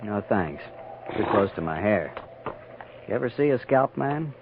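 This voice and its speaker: A man's voice: lowish voice, animated, unhurried. Through a noisy, narrow old radio recording comes a hungover American frontiersman. He is folksy and wry, a jittery wisecracker in a western drama. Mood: nervous. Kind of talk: 1950s western radio acting.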